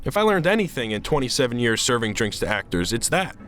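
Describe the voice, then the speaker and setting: A man's voice: mid-range voice, sing-song, quick pace, fairly bright tone, soft background music. A man playing a blunt, fatherly veteran bartender in a film drama, lecturing in a paternal way. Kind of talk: student film drama acting.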